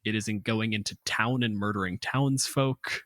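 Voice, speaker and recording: A man's voice: on the low side, unhurried, fairly bright tone, dry recording, studio quality. A man co-hosting a tabletop gaming podcast, casually analytical, articulate, emphatic and opinionated. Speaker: podcast co-host. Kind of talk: casual analytical discussion of tabletop game monsters.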